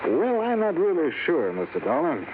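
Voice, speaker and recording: Earnest, higher-voiced manager, old radio sound. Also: male voice, huge pitch swings, moderate pace, background noise. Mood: troubled. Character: anxious, courteous male business manager of a wealthy man. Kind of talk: 1950s radio drama acting. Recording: tinny old radio broadcast with music.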